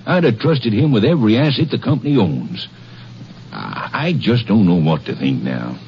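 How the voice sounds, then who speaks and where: An actor playing a flustered, trusting lumber mill superintendent in a 1950s radio western, earnest and hesitant. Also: male voice, on the low side, expressive, normal speed, creaky. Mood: worried, flustered. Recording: muffled old radio, faint noise.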